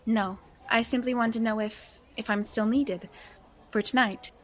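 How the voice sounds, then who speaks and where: An actress playing an anxious, principled young heroine in an audio drama, hesitant and cautious. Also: female voice, a little high, fairly even intonation. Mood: guarded, polite. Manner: hesitant, halting.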